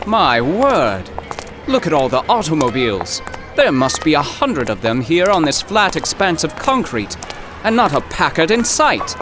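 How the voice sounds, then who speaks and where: Man playing a fussy, high-pitched, excitable professor. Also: a little slow, music in the background. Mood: astonished. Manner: pompous, formal, old-fashioned diction. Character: fussy, excitable, easily flustered male professor from the 1930s. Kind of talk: period audio drama comedy acting.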